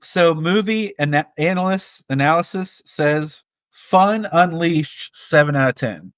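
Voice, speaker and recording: A man with a slightly high voice co-hosts a casual movie review show. His delivery is conversational, and the recording is dry with very little room sound. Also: measured pace, clear, resonant tone, no room sound. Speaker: podcast co-host. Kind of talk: casual film review chat.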